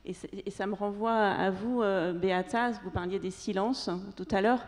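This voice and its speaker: A woman's voice: mid-range voice, steady tone. A woman moderating a live festival panel, asking long questions with frequent fillers and self-corrections. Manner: halting questions with many fillers. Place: theatre stage. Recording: long hall reverb.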